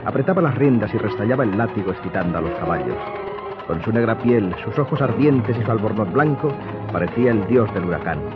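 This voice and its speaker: A man narrating a radio novel in a measured, literary, dramatic storytelling style. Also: on the low side, expressive. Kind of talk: dramatic scripted audiobook narration. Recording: muffled audio under prominent music.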